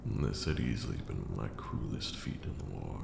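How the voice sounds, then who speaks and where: An ancient vampire lord speaks in an extremely low, heavily creaking voice. He is brooding, grand and formal, hushed as in an ASMR roleplay, slowly telling dark tales of old. The sound rings in a long, echoey reverb. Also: lively intonation, noisy background, full character voice. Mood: quietly proud. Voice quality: gravelly, breathy drops into near-whisper. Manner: slow, deliberate, hushed, formal archaic phrasing. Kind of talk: ASMR roleplay monologue, dramatic storytelling.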